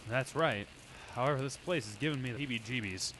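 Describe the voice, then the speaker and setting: An actor playing a chatty, jittery newspaper reporter in an old-time radio drama, folksy and slangy. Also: male voice, medium pitch, fairly quick, fairly bright tone, lightly acted. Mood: nervous. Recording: echoey hall with faint noise.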